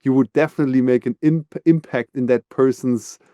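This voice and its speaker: A man's voice: mid-range voice, fairly even intonation, mellow, no echo, clean studio sound. A man hosting a podcast, talking earnestly and giving advice in a casual interview. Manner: earnest, advising, measured with fillers. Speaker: podcast host.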